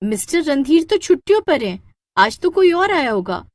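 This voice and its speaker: A woman's voice: high-pitched, big pitch swings, normal speed, dry recording, studio quality, pitched above the performer's own voice. A woman playing a worried, dutiful air hostess in a cartoon story, speaking in an earnest, urgent and pleading way. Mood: startled.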